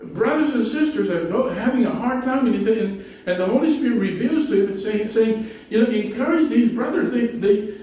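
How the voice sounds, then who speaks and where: A man's voice: high voice, expressive, moderate pace, few pauses, dark tone. A middle-aged man with a Spanish accent, preaching a conversational sermon from a pulpit, often restarting his sentences. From Spanish-speaking country. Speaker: pastor. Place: small church hall with low ceiling. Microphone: pulpit mic, far camera. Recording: echoey hall from a distance.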